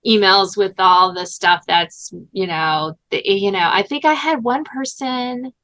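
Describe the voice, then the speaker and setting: A woman's voice: medium pitch, unhurried. Chatty, informal woman podcast host. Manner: animated, informal, peppers talk with "like" and "you know". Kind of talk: casual podcast conversation.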